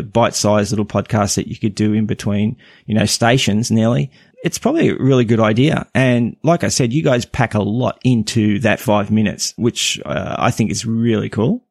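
Gravelly low-voiced male podcast host. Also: darkish tone, no room sound, studio quality. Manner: rambling, hesitant, with many restarts. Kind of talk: casual interview chat.

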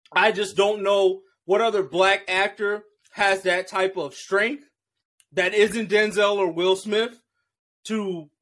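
Man, podcast co-host, casual chat, slangy, high voice. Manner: relaxed slangy talk, often ending with "bro". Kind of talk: casual chat about shows and movies.

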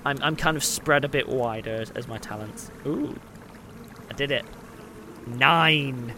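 A man chatting casually as a tabletop game player, halting and wryly self-deprecating. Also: mid-range voice, pushed and bright. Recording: clear background noise.

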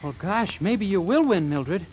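A man's voice: sits high, expressive, unhurried, darkish tone, low-quality recording. An actor playing a naive, bashful young man with an American accent, earnest and guileless, in 1940s radio sitcom style. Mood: sheepish, earnest. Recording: hissy 1940s radio recording.

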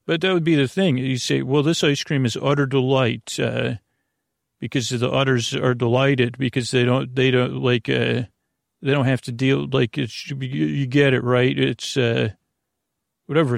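Creaky-voiced man rambling softly at bedtime. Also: mid-range voice, flat delivery, measured pace, no room sound, studio quality. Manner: hesitant, digressive, trailing off into tangents; lulling, soothing. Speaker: host of a bedtime sleep podcast. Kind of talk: rambling, meandering bedtime monologue.

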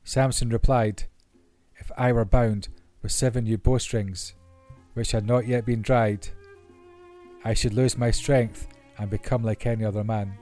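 A man's voice: medium pitch, dark tone. A man reading Bible stories aloud as scripted narration. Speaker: Bible reader. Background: classical orchestral music.

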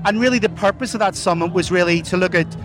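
Earnest Irish man, fairly high voice. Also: quick pace, music in the background. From Ireland. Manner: earnest, corporate phrasing with many fillers. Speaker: corporate sponsor representative. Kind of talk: live interview answers. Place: outdoor festival grounds.

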